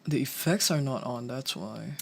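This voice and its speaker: A streamer and cover singer chatting casually, talking haltingly with many restarts and fillers. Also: medium pitch, gravelly, slight background noise. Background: faint background music.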